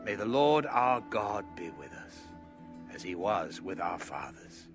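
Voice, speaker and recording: A man's voice: deep voice, sing-song, exaggerated voice. Solemn praying king, acted by a man, over music. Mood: reverent blessing. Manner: solemn, measured, reverent. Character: devout ancient king praying before his people. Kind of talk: dramatized audio Bible reading.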